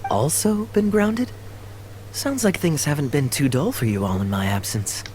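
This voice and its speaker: A man's voice: a little high, sing-song, normal speed, a little mellow, a little hiss or hum. A man voicing a suave, easygoing young knight with a sly, playful and casual manner, in video game style. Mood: teasing.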